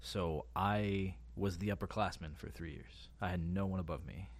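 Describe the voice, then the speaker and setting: A man in his late twenties with a very low voice, chatting loosely as a podcast co-host. He rambles and breaks off his sentences, then starts them again. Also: normal speed. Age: late 20s. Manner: rambling, self-interrupting. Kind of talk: casual chat.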